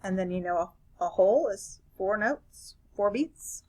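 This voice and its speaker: A woman reading a children's picture book aloud in an animated style. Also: medium pitch, a little slow. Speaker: read-aloud reader.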